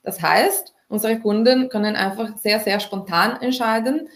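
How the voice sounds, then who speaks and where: Woman, mid pitch, chatty guest answering with lots of "ähm", echoey room. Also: unhurried. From Italy. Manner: explains at length with many "ähm" fillers. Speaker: startup co-founder, interview guest. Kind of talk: casual interview answers. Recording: roomy echo.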